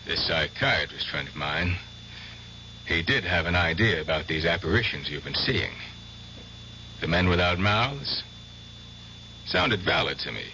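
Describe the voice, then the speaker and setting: Young doctor in old radio drama, deep voice, earnest n careful, bit hesitant, muffled recording. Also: male voice, animated, vocal fry, sharp tone, heavily acted. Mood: earnest, measured. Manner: hesitant, measured, conciliatory. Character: earnest young male doctor, persistent and tactful. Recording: muffled old radio broadcast, faint noise.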